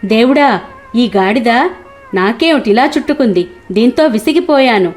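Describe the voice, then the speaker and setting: A woman's voice: on the high side, expressive, normal speed, darkish tone, faint music behind, lightly acted. A woman voicing a bad-tempered, scolding male merchant who grumbles in exasperation in a cartoon moral story. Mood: fed up.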